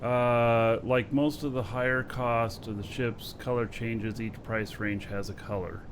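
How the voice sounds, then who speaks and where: Male stream host, mid-pitched, relaxed, answers viewer questions, faint hum behind. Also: measured pace. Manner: relaxed, practical, offering suggestions. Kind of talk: casual livestream chat, reading viewer questions.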